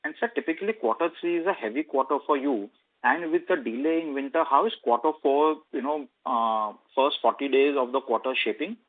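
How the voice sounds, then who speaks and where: A man's voice: medium pitch, a little fast. A man with an Indian accent politely putting questions as an analyst on an earnings call. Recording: narrow telephone conference line.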